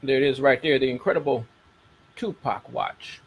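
Middle-aged man, American accent, creaky low-key fry, casual show host, emphatic repetition, slight noise behind. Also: normal speed, muffled recording. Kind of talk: casual talk to a broadcast audience.

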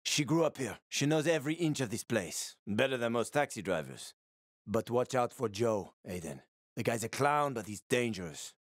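An actor playing a wry, roguish middle-aged survivor in a video game, with a sardonic, slangy, casual way of talking. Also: male voice, mid-range voice, animated, moderate pace, no room sound, studio quality, natural voice. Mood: wry, matter-of-fact. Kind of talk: video game character acting.

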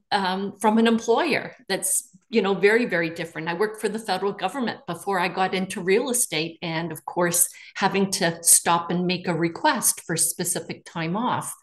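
A woman in her sixties, a real estate agent leading a webinar panel, talking casually from her living room with frequent "you know" fillers. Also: mid-range voice. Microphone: video call.

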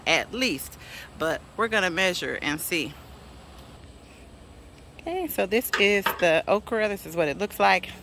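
A woman's voice: lowish voice, a bit bright, slight background noise. A woman in her forties giving a casual, chatty tour of her backyard garden on a phone held at arm's length, laughing often. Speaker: vlogger.

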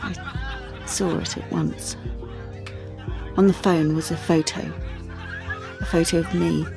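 A rather low-voiced teenage girl, sensitive and halting, confides her story in an audio play, with music faintly behind her. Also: steady tone, creaky. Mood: shaken. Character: sensitive teenage art student, shaken and confiding. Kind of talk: dramatic monologue in audio play.

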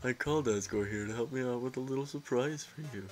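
Casting: woman as an easygoing male skeleton joker, extremely low register, comic dub with clear music underneath. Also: fast talker, darkish tone. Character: laid-back male skeleton joker, casual and friendly. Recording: music playing behind.

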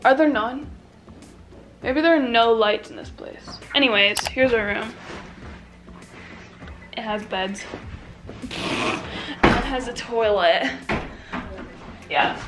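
A young woman with a slightly high voice talks slowly and loosely into a handheld camera, giggling and dropping slang as she vlogs. Music plays under her voice throughout. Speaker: vlogger. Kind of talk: casual vlog chat to camera. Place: car and hotel, indoors. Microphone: phone or handheld camera at arm's length.

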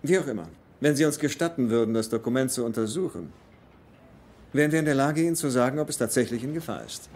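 An actor in a German film dub playing an earnest, determined treasure hunter with a measured, serious manner. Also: male voice, medium pitch, lively intonation, darkish tone, a little hiss or hum, close to an everyday voice. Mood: careful, hesitant. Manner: measured, serious, hesitant when explaining.

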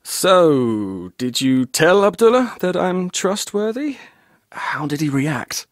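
A man's voice: fairly high pitch, big pitch swings, slow, dry recording, clean studio sound. An actor voicing a breezy, witty young male tour guide who teases playfully. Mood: teasing. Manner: playful, teasing.

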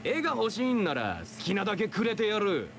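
An actor voicing a rough, greedy young male thug in an anime-style game, brusque and scornful. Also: sits high, sing-song, fairly quick, a little hiss or hum. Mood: wary, defiant. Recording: game music behind.

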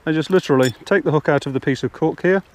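A man's voice: fairly high pitch, fast talker. Chatty older man explaining on a riverbank. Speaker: angler and channel host. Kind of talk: casual fishing demonstration. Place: outdoors on a riverbank.

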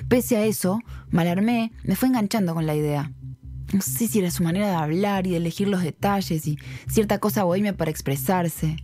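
A woman's voice: fairly low pitch, warm tone, natural voice. A woman playing a talkative, curious young woman who narrates a mystery in the first person for a podcast drama.

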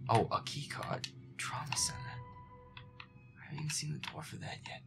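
A man's voice: fairly high pitch, fairly even intonation, fairly quick, gravelly, over music. Feisty, muttering boyfriend, played by a man, often whispering. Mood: grumbling, wary. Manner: sarcastic, profane, muttering to himself. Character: feisty, foul-mouthed werewolf boyfriend grumbling through a game. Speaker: gamer playing a scary game. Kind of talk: roleplay audio gameplay commentary, muttering.

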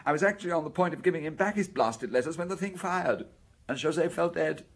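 A husky, cowardly, pleading suspect. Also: male voice, fairly high pitch, animated, quick pace. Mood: frightened, confessing. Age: middle-aged. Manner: stammering, self-pitying, evasive. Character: wretched, cowardly, pleading middle-aged male suspect. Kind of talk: radio drama acting.

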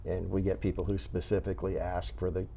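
An older man speaking as an interview guest from a cat rescue, choosing his words in a measured, halting way. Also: sits low, monotone, mellow. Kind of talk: unscripted interview talk. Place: indoor TV studio set. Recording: clear background noise.

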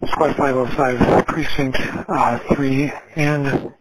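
A man working as a police dispatcher, repeating back each radio call in a clipped, steady way. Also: mid-range voice, takes it slowly. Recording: noisy police radio audio.

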